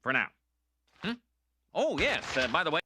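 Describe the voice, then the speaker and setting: A man's voice: a little high, sing-song, moderate pace, noisy background. An actor playing a smug male TV reporter, speaking with sly, mock-earnest sincerity.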